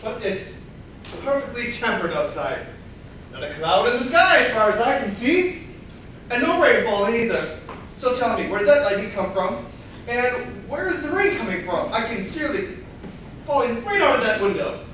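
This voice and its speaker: Man playing a high-pitched, self-assured gentleman detective. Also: sing-song, normal speed, exaggerated voice. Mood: questioning. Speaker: actor in a staged reading. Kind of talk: theatrical comedy play reading. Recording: very echoey room, distant mic, faint noise.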